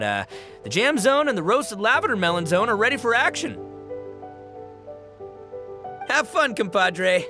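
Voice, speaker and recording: Young male game gang boss: boisterous, slangy, lovably dim, very lively intonation. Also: high-pitched. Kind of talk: video game cutscene acting.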